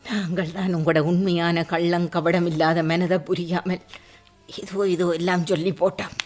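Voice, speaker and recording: An actress playing a grateful middle-aged aunt in a radio drama, speaking sincerely and with feeling. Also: female voice, fairly low pitch, little pitch movement, normal speed, a little mellow, soft background music, natural voice.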